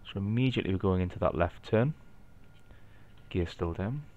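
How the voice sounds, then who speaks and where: Geordie male, deep, easygoing explainer thinking aloud, slightly muffled home-recorded sound. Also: moderate pace. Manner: relaxed, explanatory, thinking aloud with light asides. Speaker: flight simulator video maker. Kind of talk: casual narrated gameplay walkthrough.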